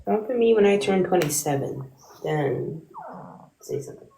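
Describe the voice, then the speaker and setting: A woman's voice: medium pitch, animated, moderate pace, gravelly, dark tone, slight background noise, low-quality recording. A woman in her twenties chatting casually on a livestream, playful and teasing with a mock-indignant edge. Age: 20s. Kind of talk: casual chat.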